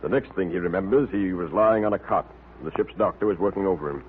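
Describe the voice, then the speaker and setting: Male actor as a concerned ship's captain, grave, courteous, quick-paced, 1940s radio acting, thin noisy recording. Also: sits low, narrow, tinny audio, lightly acted. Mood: grave, explaining. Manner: deliberate phrasing, grave, formal.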